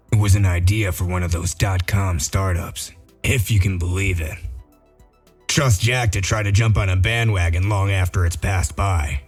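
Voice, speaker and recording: A man playing a gruff, dry-witted detective in a comic dub, explaining things in a matter-of-fact, wry way. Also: fairly low pitch, darkish tone. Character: Bigby Wolf, gruff dry-witted male detective sheriff. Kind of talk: amateur comic dub acting.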